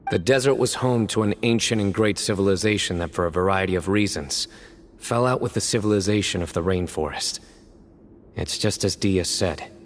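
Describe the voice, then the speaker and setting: A man voicing a stern young desert warrior in a game, speaking in a measured, serious way. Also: a little hiss or hum, close to an everyday voice. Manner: measured, serious, low-key. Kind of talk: game voice acting.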